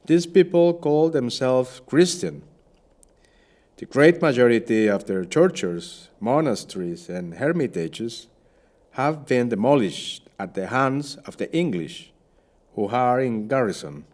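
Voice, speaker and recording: An actor playing a shipwrecked Spanish captain in an audio play, a courtly flatterer with a florid, theatrical way of speaking. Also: male voice, medium pitch, takes it slowly. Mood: wry, descriptive. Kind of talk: audio play acting and narration.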